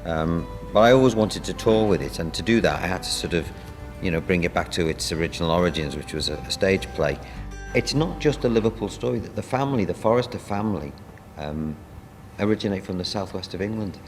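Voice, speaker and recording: A man speaking as a playwright and producer in an interview, reminiscing in a halting, conversational way. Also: sits low, lively intonation, big-room sound, soft background music.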